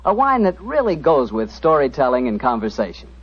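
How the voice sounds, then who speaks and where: A man's voice: medium pitch, huge pitch swings, brisk. An American man announcing in polished 1940s radio style, with emphatic, friendly sponsor patter. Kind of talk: 1940s radio announcing, scripted sales pitch. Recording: dull, rough vintage 1940s radio broadcast.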